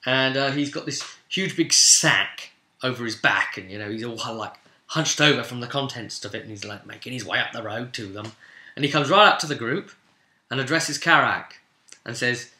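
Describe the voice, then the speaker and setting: A man's voice: mid-range voice, moderate pace, sharp tone. A man giving a casual, rambling spoken recap of a tabletop role-playing session as its game master. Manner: rambling, conversational asides. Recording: roomy, echoing voice recording.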